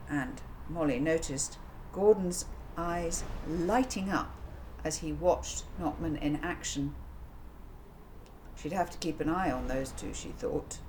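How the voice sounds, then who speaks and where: A woman with a slightly low voice narrates a children's audiobook. She tells it expressively and theatrically, drawing out words with deliberate care. There is some background noise on the recording. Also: darkish tone, low-quality recording. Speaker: author reading own book aloud.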